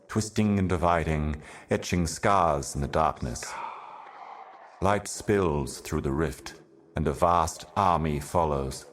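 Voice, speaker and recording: A super deep ancient video game herald, grave and weary, slow cryptic doom monologue. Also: male voice, a little hiss or hum. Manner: grave, deliberate, ominous, poetic.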